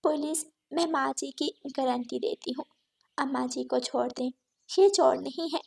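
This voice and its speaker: A woman's voice: high voice, unhurried, creaky, a little mellow. A woman voicing a kind, reasonable mother bird in a cartoon story. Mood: pleading.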